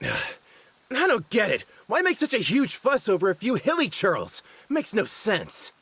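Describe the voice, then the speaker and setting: A man's voice: sits high, wildly swooping pitch, unhurried, a bit bright, husky, dry recording, heavily acted. A man playing a cocky, dismissive young forest ranger in a fantasy game, flippant and scornful. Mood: puzzled, dismissive. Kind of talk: dramatic game voice acting.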